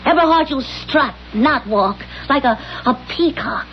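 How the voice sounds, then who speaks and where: An actress playing a bossy, coaxing middle-aged wife, insistent and scheming, in a 1970s radio drama. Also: female voice, high-pitched, expressive, unhurried, a little hiss or hum. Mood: coaxing, pushy. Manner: insistent, pushy. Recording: muffled old radio broadcast, faint music.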